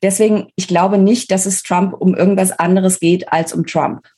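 Woman explaining politics, slightly husky voice. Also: fairly low pitch, fairly even intonation, normal speed, mellow. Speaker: interview guest, author of a political book. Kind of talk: conversational political analysis in a podcast interview.

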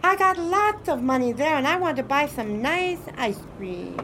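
Woman as wheedling little kid, very high voice, swooping, pushy, radio play, faint noise behind. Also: expressive, moderate pace, pushed and bright, exaggerated voice, low-quality recording. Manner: pushy, childish, casual. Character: wheedling eager neighborhood kid angling for a ride.

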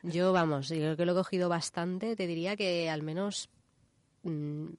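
A woman's voice: on the low side, steady tone, moderate pace, a little mellow, no room sound. A woman in her thirties with a Spanish accent from Spain, hosting a radio show in casual, colloquial chat and explaining as she goes. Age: 30s.

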